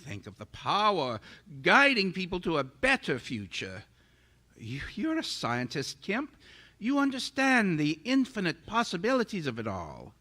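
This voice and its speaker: A man's voice: high voice, unhurried, exaggerated voice. A man acting as a fervent, condescending mad scientist in a radio drama, theatrical and grandiose. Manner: grandiose, theatrical, stammers when excited. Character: megalomaniac invisible male scientist, fervent and condescending.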